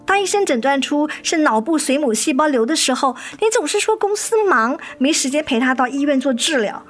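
A woman's voice: high-pitched, animated, brisk. A woman acting a weary, resolute wife and mother in a radio drama, firm and reproachful with her husband. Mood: bitter. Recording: studio radio drama with music underneath.

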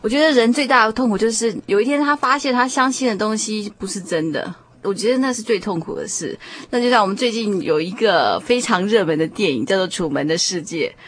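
A woman with a Taiwanese Mandarin accent hosting a radio discussion in a measured, explanatory way. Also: medium pitch. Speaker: host.

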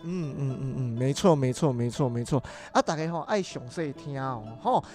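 A woman's voice: rumbling low, lively intonation, normal speed, dark tone, soft background music. A woman with a Taiwanese accent introducing a show as a chatty podcast host, often chiming in with repeated agreement.

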